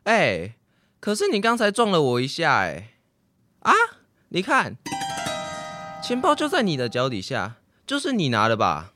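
A man's voice: fairly high pitch, huge pitch swings, unhurried, long pauses, pushed and bright, faint music behind, exaggerated voice. A man acting a suspicious, accusing shop customer in a radio drama.

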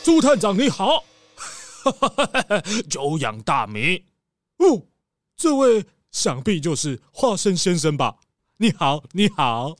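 A man's voice: high voice, sing-song, slow pace, long pauses, exaggerated voice. A man voicing a polite old giraffe butler in a children's audio drama, courteous and deferential. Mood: polite, delighted.

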